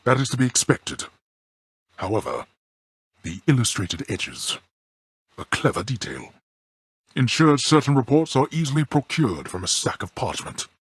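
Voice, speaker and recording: An actor playing a stern, proud old god of the underworld and gruff father in a video game, speaking in a formal, archaic, imperious and curt way with a deep, gravelly, husky voice. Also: very animated, moderate pace, long pauses, no echo, clean studio sound. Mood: formal approval. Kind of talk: video game character acting.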